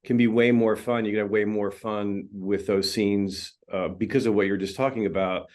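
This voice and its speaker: A man's voice: on the low side, moderate pace. A male film editor talking casually in an interview, full of fillers and restarts. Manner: relaxed, chatty, many fillers and restarts. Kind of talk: interview conversation.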